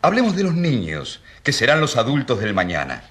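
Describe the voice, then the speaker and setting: A country priest in the dock of a radio courtroom drama. He argues with insistent, ironic questions and indignation, his medium-pitched voice rising and falling widely. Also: wildly swooping pitch, brisk, a bit bright. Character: rural priest on trial, defending peasants with indignant irony.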